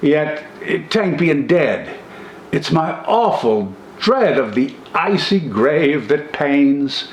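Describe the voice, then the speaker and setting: A man's voice: fairly high pitch, sing-song, takes it slowly, pitched above the performer's own voice. An old man doing a freezing, pleading prospector. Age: older. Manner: pleading, moaning. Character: freezing, dying male gold prospector from the south. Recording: roomy echo.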